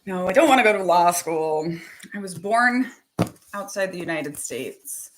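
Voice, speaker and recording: A woman talking casually and animatedly as host, with quick asides, laughs and the odd swear word. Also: lowish voice, lively intonation, low-quality recording. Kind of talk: casual chat to camera.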